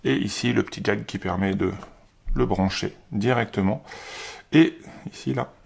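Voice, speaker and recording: A man's voice: fairly low pitch, moderate pace, gravelly, breathy edge. A man giving a casual, unscripted product unboxing, informally thinking aloud as he handles the item.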